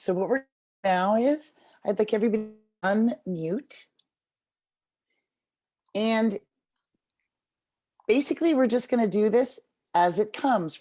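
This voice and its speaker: A woman's voice: on the low side, mellow. A woman facilitating an online group writing activity over a video call, speaking in an encouraging manner. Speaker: workshop facilitator.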